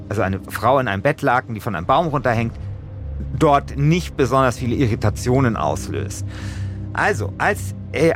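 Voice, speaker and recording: A man speaks off the cuff in a mid-range voice, rambling colloquially as he explains things like a podcast co-host. Faint music sits behind his talk. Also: mid-range voice. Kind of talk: casual, unscripted chat and explaining.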